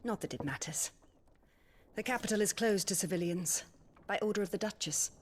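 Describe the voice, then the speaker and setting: A woman's voice: mid-range voice, normal speed, slightly rough, natural voice. An actress playing a refined, emotional middle-aged noblewoman in a video game cutscene. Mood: wistful. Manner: refined, emotional, formal speech. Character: warm-hearted widowed noblewoman, middle-aged.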